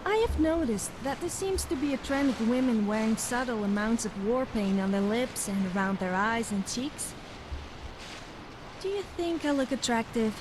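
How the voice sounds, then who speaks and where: Actress as a slightly high-pitched vain game companion, chatty, noisy in-game audio. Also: female voice, natural voice. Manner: chatty, fishing for compliments. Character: vain flirty female companion.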